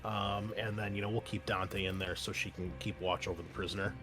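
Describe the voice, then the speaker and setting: A man narrating a tabletop roleplaying game as game master in a casual, conversational, halting way. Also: lowish voice, fairly even intonation, music in the background.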